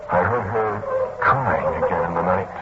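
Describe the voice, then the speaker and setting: An actor playing a haunted, earnest man who recounts a dark tale in old-time radio style, with dramatic, broken phrasing. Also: sits low, a little slow. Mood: haunted, brooding. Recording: muffled, hissy old radio recording.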